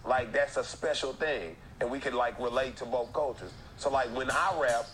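A man's voice: mid-range voice, normal speed. A man from the United States, a rapper, talking in a casual interview and mimicking other people's voices. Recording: crowd noise behind.

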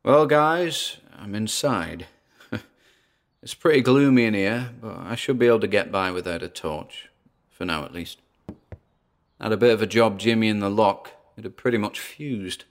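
A man's voice: fairly low pitch, moderate pace, lightly acted. A man with a British accent playing a wary, chatty paranormal investigator narrating his exploration in a podcast-style audio drama, with theatrical asides. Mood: chatty, wry.

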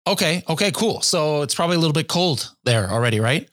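A man's voice: fairly high pitch, expressive, quick pace, a bit bright, dry recording, studio quality. A man hosting a podcast, asking questions in an upbeat, conversational way. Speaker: podcast host. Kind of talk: podcast interview.

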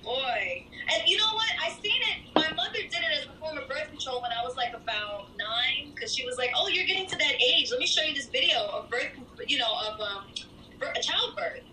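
Guest, woman in her 30s, high bright voice, animated storyteller, faint background noise. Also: fairly quick. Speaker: podcast guest. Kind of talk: casual podcast chat.

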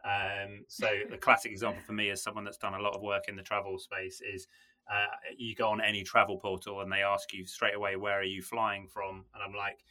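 A man with a very low voice that barely rises or falls, yet with a bright, forward edge. He speaks frankly and sounds skeptical, often pausing on small fillers while he thinks. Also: completely flat delivery. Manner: candid, skeptical, many fillers. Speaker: guest. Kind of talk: podcast discussion.

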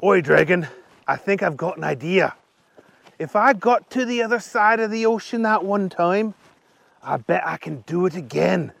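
A man's voice: high voice, lively intonation, moderate pace, vocal fry, fairly bright tone, no echo, heavily acted. A man voicing an eager, kindly young adventurer, earnest and colloquial, in a children's story. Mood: insistent.

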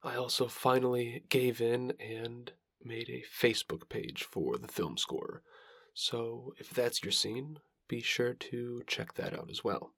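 Creaky-voiced male podcast host. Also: mid-range voice, a little slow, fairly bright tone, no echo. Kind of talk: podcast introduction and interview.

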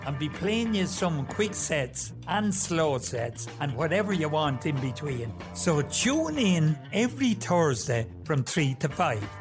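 A man's voice: fairly high pitch, big pitch swings, a little slow, few pauses. A man presenting an informal promo for his radio show. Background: music.

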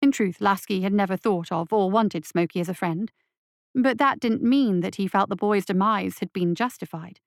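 A woman reads dark fantasy fiction as an audiobook narrator. Her voice sits at a medium pitch, and the sound is dry and close, free of room echo. The delivery is scripted and dramatic. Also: normal speed. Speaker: story reader.

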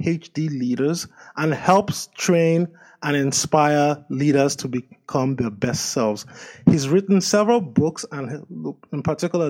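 Upbeat male podcast host, higher voice. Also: darkish tone. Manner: upbeat, reads sponsor copy then asks questions. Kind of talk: interview podcast hosting.

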